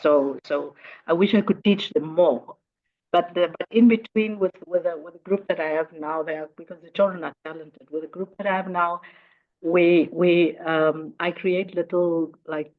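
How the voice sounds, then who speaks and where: A woman's voice: lowish voice, steady tone, moderate pace. A South African woman in her fifties, an Afrikaans native speaker and teacher, talking in a casual interview and often repeating words as she searches for phrasing. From Northern Cape, South Africa. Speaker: guest, teacher. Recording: dull lo-fi audio.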